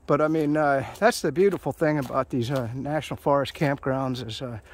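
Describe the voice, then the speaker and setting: A man's voice: mid-range voice, brisk. A middle-aged man, talking casually and rambling while he hikes a forest trail, recording on a phone he holds. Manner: rambling, self-mocking, many fillers. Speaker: hiking vlogger. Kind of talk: casual walking commentary. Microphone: phone camera held while walking.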